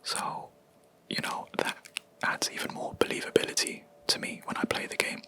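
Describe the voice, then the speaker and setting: A young adult video creator, whispering a rambling, hesitant gaming chat close to the mic in a quiet room. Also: normal speed. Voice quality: soft unvoiced whisper throughout. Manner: rambling, hesitant, with many ums and self-corrections.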